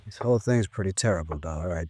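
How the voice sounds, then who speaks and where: An actor playing a dutiful male secretary in a 1950s radio drama, quiet, polite and hesitant. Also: low voice, fast, slight background noise, close to an everyday voice. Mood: subdued, awkward. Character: subdued, dutiful male secretary to a rich old man.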